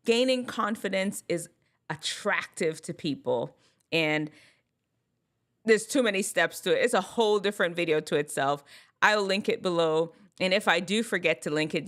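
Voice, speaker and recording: Woman with a mixed Jamaican-American accent. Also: deep voice. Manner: enunciates words strongly, animated and chatty. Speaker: vlogger giving advice. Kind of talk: casual advice talk to camera.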